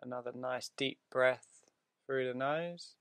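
A man leading a guided meditation in a soothing, deliberate way, leaving drawn-out pauses between short phrases. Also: mid-range voice, a bit bright, smooth, dry recording.

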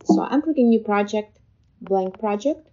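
A woman hosting a casual step-by-step software tutorial, explaining informally and a little hesitantly. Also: medium pitch, animated, normal speed. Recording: slightly dull, lo-fi voice-over.

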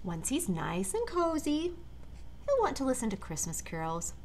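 American woman reading aloud, lively pitch. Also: normal speed. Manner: expressive, addressing young listeners directly. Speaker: storyteller reading a picture book to children. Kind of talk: read-aloud of a children's storybook with chatty asides. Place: large living room, indoors. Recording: clear background room noise.

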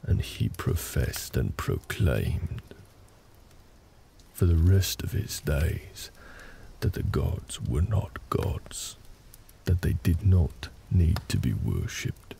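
A man playing a gentle, big orc husband in a comfort roleplay audio, telling a story in a hesitant, reassuring, gravelly way. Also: sing-song, slight background noise, heavily acted. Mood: calm storytelling. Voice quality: very deep, gravelly. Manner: slow, hesitant, reassuring, conversational.

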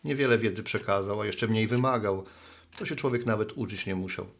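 A man's voice: fairly low pitch, fairly quick. An actor playing a good-natured, sheepish middle-aged man, a postman and bar regular, talking in a folksy, rambling way in a radio play. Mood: musing.

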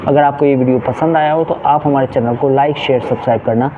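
A man in his forties presenting business news to camera in an office, earnest and brisk, often correcting himself mid-word. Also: medium pitch, fairly even intonation. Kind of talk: explainer to camera, unscripted. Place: indoor office space with shelves and plants. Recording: tinny phone-like sound, faint hiss.

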